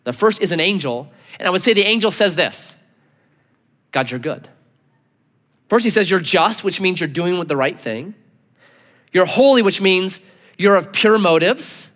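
A man preaching a sermon as a pastor, earnest and emphatic, dropping to low, intense tones for weight. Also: lively intonation, a little slow, pauses often, fairly bright tone. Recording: long hall reverb.

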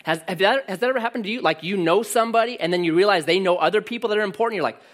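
A man preaching a sermon with a loud voice that carries, telling stories and cracking jokes. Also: high-pitched, rapid-fire. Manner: animated storytelling with jokes. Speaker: pastor.

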